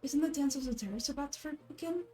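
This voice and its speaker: A woman voicing a gentle, frail young woman in a fantasy game, speaking in a soft, measured, wistful and earnest way. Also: high-pitched, faint music behind, pitched above the performer's own voice, close to an everyday voice. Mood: hopeful.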